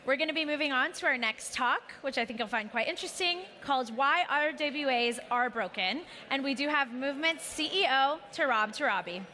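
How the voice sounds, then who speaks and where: High bright-voiced woman announcing speakers. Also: animated, brisk, few pauses, slight background noise. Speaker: event host introducing speakers. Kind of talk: stage announcement. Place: large conference hall.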